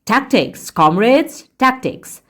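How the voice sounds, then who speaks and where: A woman's voice: fairly high pitch, very animated, slow, fairly bright tone, pitched above the performer's own voice, heavily acted. A woman voicing a sly, persuasive male pig from a storybook, urgent and rhetorical, repeating key words for effect. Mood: sly, insistent.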